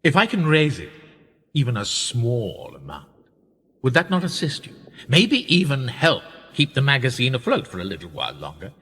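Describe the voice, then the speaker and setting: A wealthy upper-class British businessman, played by a male actor in a radio play. His voice is husky and swings widely in pitch as he poses wry, gentlemanly questions. He is urbane and self-mocking, heard in a very reverberant space. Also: wildly swooping pitch, moderate pace, exaggerated voice. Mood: genial, persuasive. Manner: urbane, wry, rhetorical, gentlemanly formal speech. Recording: reverberant room with long echo.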